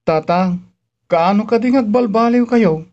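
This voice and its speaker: A woman's voice: mid-range voice, a little slow, darkish tone, close to an everyday voice. A woman playing a dutiful child, slightly muffled. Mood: pleading. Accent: Ilocano. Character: dutiful, caring child pleading with parents. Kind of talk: Ilocano radio-style drama acting.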